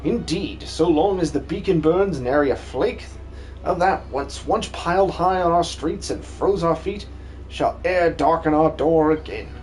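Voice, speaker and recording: A man's voice: on the high side, unhurried, a little mellow, faint music behind, lightly acted, rough recording. A man voicing a pompous, rousing royal ruler with grandiose, archaic speech in a fantasy game fan dub. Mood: solemn, proud. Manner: grandiose, theatrical, archaic wording.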